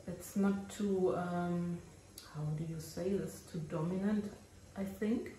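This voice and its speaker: A German woman with a German accent, narrating her resin crafting casually and often pausing to search for English words. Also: fairly low pitch, steady tone, warm tone, echoey room, recorded from a distance, a little hiss or hum, poor audio.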